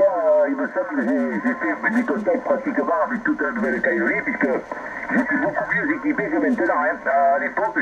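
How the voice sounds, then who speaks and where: A man's voice: very high-pitched, gravelly. A man from France chatting casually over amateur radio, rambling with many fillers and restarts. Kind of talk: casual radio contact chat. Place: home radio station. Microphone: received over a radio transceiver. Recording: lo-fi radio reception with loud noise.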